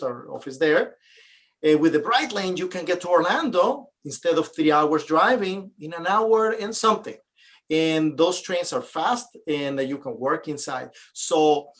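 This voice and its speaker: A man's voice: fairly high pitch, fairly bright tone. A man, a guest realtor, giving long explanations in casual interview chat, full of fillers.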